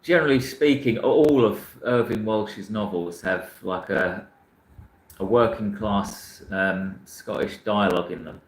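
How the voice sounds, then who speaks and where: South london guy rambling about books, restarts a lot, kinda low voice, roomy echo. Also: a little slow. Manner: rambling, self-correcting, frank. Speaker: book channel host. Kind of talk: casual chat reading viewer comments.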